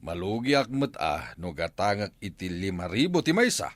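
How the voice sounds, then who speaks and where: An actor playing a deferential village buyer haggling in a radio comedy drama, with an Ilocano accent. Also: male voice, low-pitched, sing-song, moderate pace, no room sound. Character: bargaining male villager buying cattle, deferential.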